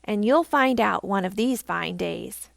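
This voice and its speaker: A woman's voice: mid-range voice, lively intonation, a little slow, creaky, no echo, studio quality. A woman voicing a sly, spiteful male fox in a storybook, snapping and snarling his boasts. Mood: menacing.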